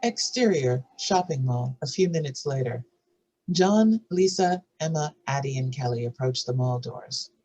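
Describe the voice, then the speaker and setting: A man's voice: mid-range voice, animated, a little slow, a little mellow, rough recording. A man giving scripted narration, reading out stage directions for a drama table read.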